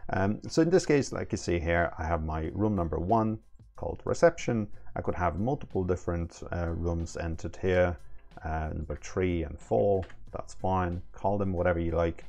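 A man's voice: sits low, moderate pace, a little mellow. A man in his thirties giving a casual, informal, step-by-step tutorial as a product demonstrator. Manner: informal, hands-on, step-by-step explaining. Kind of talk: casual tutorial, explaining setup steps.

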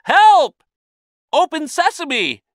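A man's voice: a little high, sing-song, slow, very noisy, voice pushed up, heavily acted. A man voicing a timid, wondering poor hero in a storybook, earnest and exclamatory. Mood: panicked.

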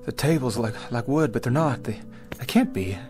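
An actor playing a desperate, bewildered 1840s pioneer father in a radio drama, halting and repeating his words. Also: medium pitch, expressive, music in the background, natural voice. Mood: bewildered, agitated.

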